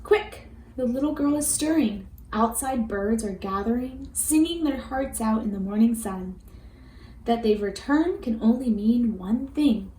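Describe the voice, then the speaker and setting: A woman reading a picture book aloud for children in an expressive, deliberate storytelling manner. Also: on the high side. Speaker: storybook reader. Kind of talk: children's read-aloud narration. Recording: roomy echo with faint background noise.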